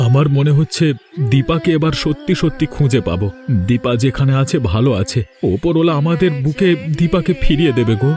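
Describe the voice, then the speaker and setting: A man's voice: on the high side, animated, fairly quick, lightly acted. A man playing an earnest village father of a kidnapped daughter in a Bengali cartoon. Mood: hopeful. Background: background music.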